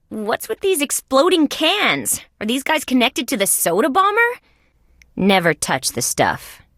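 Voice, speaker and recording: A woman's voice: medium pitch, no echo, studio quality. A woman voicing a tough, wisecracking female insect police detective in a video game, with a wry, sardonic delivery. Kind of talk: scripted game dialogue, detective banter.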